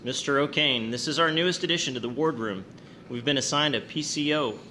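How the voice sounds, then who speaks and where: An actor playing a stern, formal submarine captain who speaks curtly in a wartime naval drama. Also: male voice, mid-range voice, fairly quick, sharp tone, natural voice. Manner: curt, formal, commanding. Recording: faint background noise.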